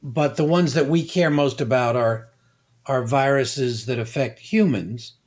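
An older man answering interview questions as a scientist, explaining in a measured, halting way with frequent pauses. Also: medium pitch, a little mellow. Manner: measured, halting, many fillers. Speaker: guest scientist.

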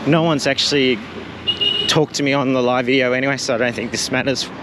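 A man's voice: medium pitch, fairly even intonation, fairly quick. A man, a teacher and travel vlogger, chatting casually and rambling a little as he walks through a town and films on a handheld camera. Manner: chatty, rambling, self-deprecating. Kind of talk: casual walking commentary. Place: outdoors on a town street and bridge. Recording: street noise behind the voice.

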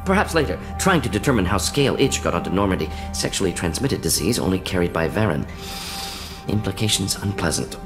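An actor voicing a brisk, clinical male alien scientist in a video game, in clipped telegraphic phrases. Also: low-pitched, very animated. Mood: busy, clinical. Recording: video game music underneath.

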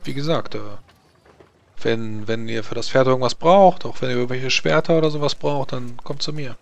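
Man playing a gravelly, pushy blacksmith. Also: mid-range voice, normal speed, close to an everyday voice. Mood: insistent. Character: pushy, haggling provincial blacksmith touting his forge. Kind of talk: improvised tabletop role-play.

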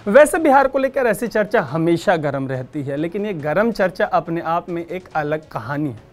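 A man's voice: on the high side, big pitch swings, normal speed. A man in his thirties presenting explanatory news to camera in an emphatic, conversational way. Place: indoor news studio. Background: background music.

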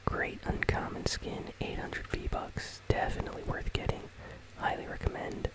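A gaming host delivers ASMR commentary in a continuous whisper. The voice is breathy and unvoiced, with no full tone. The delivery is soft and casual, rambling gently from one remark to the next. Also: moderate pace, rough recording. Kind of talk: ASMR whispered commentary on a game item shop.

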